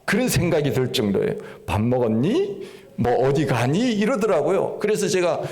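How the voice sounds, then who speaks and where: A middle-aged pastor from Korea's Gangwon Province with a slight Gangwon accent, preaching a sermon in a folksy, storytelling way with rising emphatic peaks. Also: male voice, on the high side, very animated, normal speed, a little mellow.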